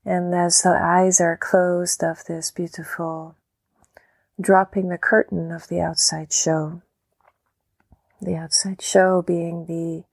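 A woman leading a guided meditation, speaking calmly and gently in short phrases with long pauses. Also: lowish voice, creaky, no echo, rough recording. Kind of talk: guided meditation, softly spoken instruction.

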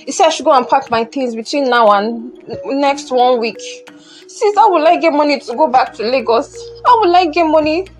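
A woman's voice: high voice, expressive, normal speed, faint music behind. A Nigerian woman playing a distressed, despairing young mother in an audio drama, pleading and lamenting in a tearful, strained voice. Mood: worried. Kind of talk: emotional audio drama acting.

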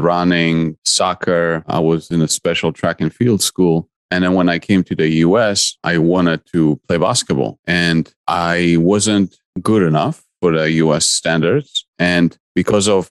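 A very deep-voiced man answers interview questions. He speaks earnestly, often stacking his ideas in short clipped phrases. The recording is close and dead, with no room sound. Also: dry recording. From Poland. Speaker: guest investor.

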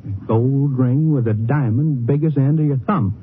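A man's voice: medium pitch, normal speed, husky, lightly acted. A man playing folksy Texan hotel clerk, phone-thin sound. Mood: chatty. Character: folksy, chatty, eager-to-please small-town hotel clerk. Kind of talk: 1950s Western radio drama acting. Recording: muffled, noisy old radio recording.

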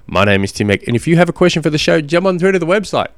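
A man hosting a casual solo podcast, slightly hoarse from a cold, rambling with many ums and restarts. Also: on the high side, big pitch swings, rapid-fire, no room sound, studio quality. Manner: rambling, self-deprecating, lots of ums and restarts. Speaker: podcast host and cycling coach.

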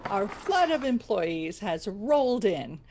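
A proud woman who runs a struggling radio station, played by an actress, speaks in a brisk, dryly theatrical way. She is stubborn and businesslike, in the style of a radio comedy. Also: medium pitch, very animated, darkish tone, exaggerated voice. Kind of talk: scripted radio comedy acting.

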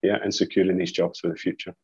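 Scottish guy talking business on a call, bit raspy, muffled roomy sound, says you know a lot. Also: sits low, little pitch movement, quick pace, a little mellow. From Scotland. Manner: repeats "you know" and "as well" as verbal tics. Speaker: company executive being interviewed. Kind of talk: informal business interview. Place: office, on a video call.

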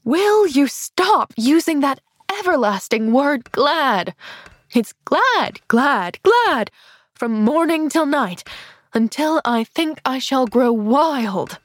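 A woman voicing a stern, exasperated middle-aged aunt in an audiobook, with a sharp, clipped, scolding delivery. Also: fairly high pitch, lively intonation, a little slow, no echo. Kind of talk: audiobook character voice.

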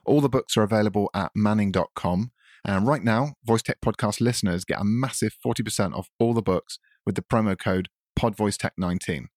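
A man's voice: lowish voice, a little fast, darkish tone, no room sound, clean studio sound. A man hosting a podcast, introducing the show and interviewing guests in a conversational way. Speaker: podcast host.

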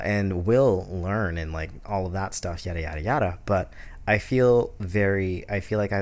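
A man in his twenties hosting a casual solo podcast, rambling with many fillers and restarts. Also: on the low side. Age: 20s. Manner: rambling, heavy use of "like", restarts. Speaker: podcast host.

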